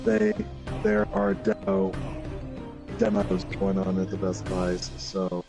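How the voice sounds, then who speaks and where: A man co-hosting a gaming livestream over voice chat, talking casually and haltingly while he plays. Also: sits low, very animated, vocal fry. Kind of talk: casual chat while playing a video game. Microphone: online voice chat. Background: loud game music.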